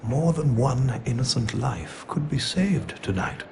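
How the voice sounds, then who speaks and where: An older man playing a calm, wise old headmaster in a video game, speaking slowly and gently with soft, breathy phrases. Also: mid-range voice. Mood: grave. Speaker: voice actor. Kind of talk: video game cutscene acting.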